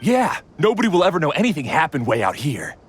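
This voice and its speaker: A man's voice: on the high side, huge pitch swings, quick pace, soft background music. A man voicing a scheming male bandit henchman with a sly, eager manner.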